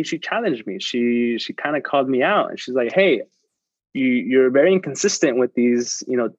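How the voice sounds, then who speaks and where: A man's voice: mid-range voice, no room sound. Earnest motivational man on a dull line. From United States. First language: Spanish. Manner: earnest, motivational, repeats words as he restarts. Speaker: podcast guest. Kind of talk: casual interview chat.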